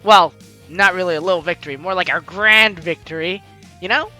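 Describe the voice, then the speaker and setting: Young male playthrough host; chatty, high, bright voice, music under. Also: brisk. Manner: chatty, reads lines with asides. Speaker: gameplay host reading the story aloud. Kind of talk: let's play narration and commentary.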